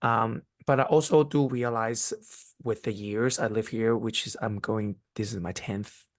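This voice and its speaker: Podcast co-host, male, Cantonese first language, lowish voice, gentle and hesitant delivery, dry close-mic sound. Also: steady tone, no room sound. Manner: soft-spoken, halting with many restarts and fillers. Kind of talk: casual conversation.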